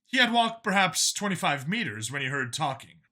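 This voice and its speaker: Guy reading an action audiobook, super bright forceful voice, lots of up and down, dramatic. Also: fairly high pitch, sing-song, moderate pace. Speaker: audiobook narrator. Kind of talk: scripted dramatic story narration.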